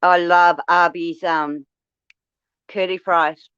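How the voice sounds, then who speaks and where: An Australian woman in casual chat, blunt and quick to swear as she tells her stories. The sound is dry and close, and a bit dull. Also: lowish voice, clear, resonant tone, no echo. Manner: blunt, swears freely, animated storytelling.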